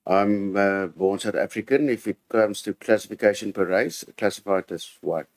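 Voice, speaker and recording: Formal low-voiced man, dry sound. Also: normal speed, a little mellow, dry recording. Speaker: council committee chairperson. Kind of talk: formal testimony.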